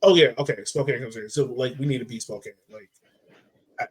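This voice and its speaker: A man's voice: medium pitch, a little fast, breathy edge. A man hosting a casual soccer discussion, speaking informally and conversationally and often posing questions. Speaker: podcast host.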